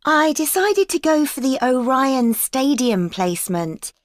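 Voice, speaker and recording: A woman's voice: high voice, big pitch swings, measured pace, no room sound, studio quality. An actress playing a young female student talking politely to her tutor about a work placement.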